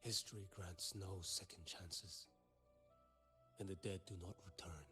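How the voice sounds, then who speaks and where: Game role: grim, resolute warrior burdened by guilt. Very deep register, measured and somber. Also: male voice, steady tone, bright, forceful tone, faint music behind. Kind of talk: dramatic video game acting.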